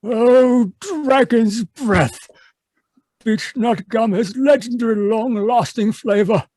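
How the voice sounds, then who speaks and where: An actor playing a grand, exasperated old wizard in a comic radio commercial, pompous and theatrical. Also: male voice, extremely high pitch, slow pace, a little mellow, no room sound, exaggerated voice.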